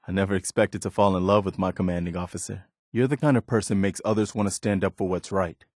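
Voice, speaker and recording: Casting: male actor for idealistic wasteland militiaman, video game companion, lowish pitch, dry close sound, sincere and measured delivery. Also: dry recording, natural voice. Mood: warm, sincere. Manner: sincere, plain-spoken, measured. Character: earnest, idealistic militia soldier in a post-apocalyptic wasteland. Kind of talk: video game voice acting, naturalistic dialogue.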